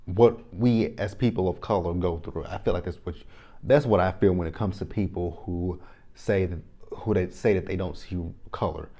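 A man from Texas in his thirties with a Texan accent, giving an animated, opinionated reality TV recap straight to camera on a lapel mic in a small room. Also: low-pitched. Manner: animated, repeats phrases, talks straight to viewers. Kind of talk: casual opinionated review to camera. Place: small indoor room, plain backdrop.

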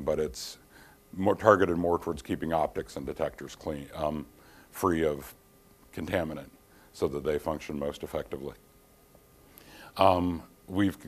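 A middle-aged man giving a technical conference presentation in a dim hall, speaking in a measured way with frequent ums and restarts. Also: deep voice. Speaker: keynote speaker, engineer. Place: dim conference hall, standing by projector screen.

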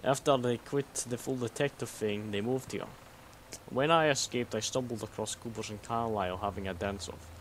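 A man playing a secretive, guarded synth copy of a Soviet spy in a game mod, explaining in a measured way. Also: medium pitch, lightly acted, rough recording. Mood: secretive, matter-of-fact. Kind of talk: scripted game mod dialogue. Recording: noticeable background noise.

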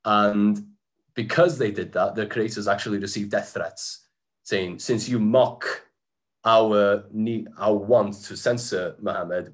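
A man with a slightly low voice presents slides from a small room, heard through a webcam. He talks in a loose, chatty way, restarting sentences and filling pauses as he goes. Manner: chatty, frequent restarts and fillers, occasional laughs. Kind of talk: informal slide-talk presentation. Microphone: webcam on a video call.

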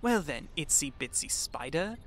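A man's voice: fairly high pitch, very animated, normal speed, a bit bright. A silky, smug trickster god, acted by a man for an audio drama roleplay. He speaks in pompous, formal taunts, over faint background noise. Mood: taunting, menacing. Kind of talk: fan audio roleplay acting.

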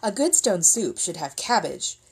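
A man's voice: sits high, very animated, a little slow, gravelly, darkish tone, breathy edge, full character voice. A woman voicing crafty, cheerful soldiers in a storybook, coaxing and exclaiming with mock wistfulness. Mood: wistful coaxing.

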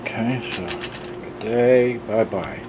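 A man's voice: fairly low pitch, slow pace. A middle-aged man giving a casual hands-on product demonstration, rambling and thinking aloud in an offhand way. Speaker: gadget reviewer. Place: indoors at a table, handling devices. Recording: noisy room with steady background hum.

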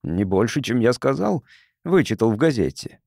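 A man's voice: medium pitch, sing-song, moderate pace, gravelly, a little mellow, no room sound. A persistent, inquisitive visitor from Earth, read by a male narrator in a science fiction audiobook. He is polite and probing, with very varied intonation in a dry recording. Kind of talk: audiobook character reading.

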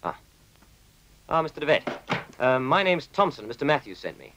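A man's voice: mid-range voice, very animated, moderate pace, background noise, lightly acted. An actor playing a brash, overconfident publicity man in a film comedy, with a breezy, glib manner. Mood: eager, polite.

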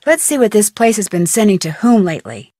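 A plucky teenage girl detective from a video game. She thinks aloud with curiosity and wry humor, in a mid-pitched voice. The recording is very dry and close. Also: big pitch swings, brisk, dark tone, dry recording. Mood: musing. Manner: wry, curious, self-talking. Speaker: voice actor of a video game heroine.

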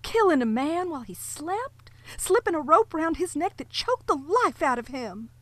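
An actress playing a nervous, timid sheriff's wife in a radio play, speaking haltingly with anxious little laughs, recorded in a home closet. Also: female voice, high-pitched, sing-song, dry recording. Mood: solemn. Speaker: Mrs. Peters, sheriff's wife. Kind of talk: live radio play acting.

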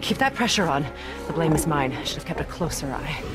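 Low-voiced, stern witch healer. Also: expressive, brisk, loud music behind the voice. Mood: firm, focused. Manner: firm, instructing. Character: stern, protective forest witch healer woman. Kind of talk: video game acting.

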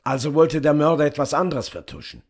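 An actor playing a blunt, sceptical police inspector in crime radio drama dialogue. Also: male voice, on the high side, animated, a little fast, vocal fry. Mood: businesslike.

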